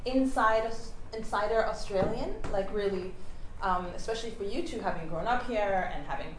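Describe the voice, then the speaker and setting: A woman's voice: medium pitch, normal speed. A woman interviewing guests, asking thoughtful questions and searching for her words. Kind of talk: conversational interviewing. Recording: echoey room, light background noise.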